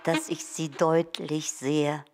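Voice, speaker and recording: A woman's voice: low-pitched, flat delivery, darkish tone. A woman playing a contented, reflective figure musing on darkness in a poetic radio play, in heavy reverb with saxophone music behind. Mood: contemplative, content. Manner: slow, reflective.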